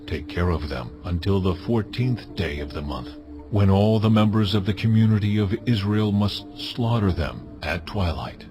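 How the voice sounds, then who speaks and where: A man playing God in a dramatized audio Bible, solemn and authoritative as he delivers measured commands. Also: lowish voice, animated, natural voice. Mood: solemn, commanding. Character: God, solemn commanding male deity giving laws. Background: music.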